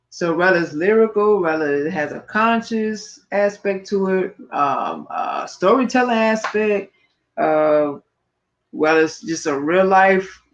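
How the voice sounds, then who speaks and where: A tired man co-hosting a podcast, talking in a casual, slangy, blunt and emphatic way. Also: on the high side. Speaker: podcast co-host. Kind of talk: casual, slangy show talk. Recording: dull, lo-fi stream audio.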